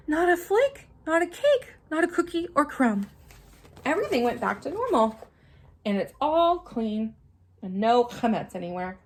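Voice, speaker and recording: Animated high-voiced woman reading to children. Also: slight background noise. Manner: animated, dramatic, simple explanations for young children. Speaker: storybook reader. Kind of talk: expressive children's read-aloud with short explanatory asides.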